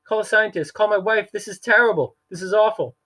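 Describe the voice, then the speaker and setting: A man reading an audiobook, voicing a panicky middle-aged banker who babbles frantically in a high-pitched voice. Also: fairly quick, bright, forceful tone. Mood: panicked babbling. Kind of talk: audiobook character voice.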